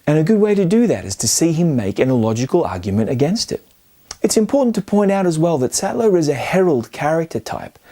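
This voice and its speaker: Man narrating film analysis, softened mellow tone. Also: mid-range voice. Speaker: film commentary host. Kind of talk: scripted video-essay narration.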